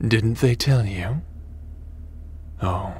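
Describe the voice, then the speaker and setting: A man playing a smug, ruthless crime boss and sci-fi villain in an audio roleplay, taunting and theatrical in a deliberate delivery. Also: lowish voice, mellow, a little hiss or hum.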